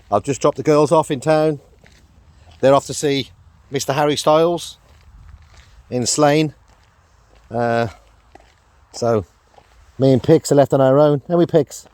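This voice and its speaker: A middle-aged man with a croaky throat, chatting casually on a walk with his dog in a rambling vlog. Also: mid-range voice, a little mellow, dry recording. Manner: rambling, chatty, lots of fillers and laughs. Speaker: vlogger.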